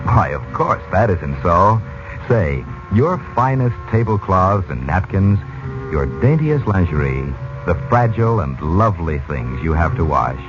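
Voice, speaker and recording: Male 1940s-style commercial announcer, low and persuasive, folksy, over clear music on a dull lo-fi recording. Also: very animated, a little slow. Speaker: radio announcer. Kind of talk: 1940s radio commercial read.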